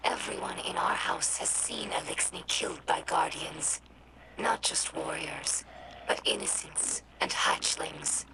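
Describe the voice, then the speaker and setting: Earnest young alien scribe girl for a game audio log, lowish creaky voice, slow n formal, thoughtful. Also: heavy background noise. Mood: earnest, measured. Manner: measured, thoughtful, formal explaining. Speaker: scribe recording audio logs for humans. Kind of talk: scripted video game audio log narration.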